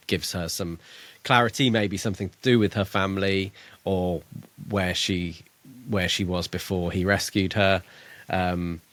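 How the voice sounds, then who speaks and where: A man with a fairly low voice, recorded dry and close. He talks casually as a podcast co-host, feeling his way through his thoughts with some hedging. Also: a bit bright, no room sound. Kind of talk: casual book discussion.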